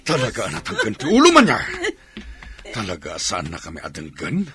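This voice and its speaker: A stern Filipino father in a radio drama. His low voice is sharp and forceful, swinging dramatically as he scolds with a hot temper. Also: huge pitch swings, brisk, exaggerated voice. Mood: stern, angry. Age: middle-aged. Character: domineering, hot-tempered father forcing his daughter to marry.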